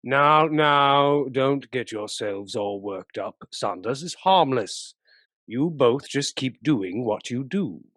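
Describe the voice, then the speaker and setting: An actor playing an avuncular police chief in a radio play, genial but easily flustered and hesitant. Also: male voice, mid-range voice, expressive, slow pace, fairly bright tone, no room sound. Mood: genial, placating. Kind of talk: dramatic radio-play acting.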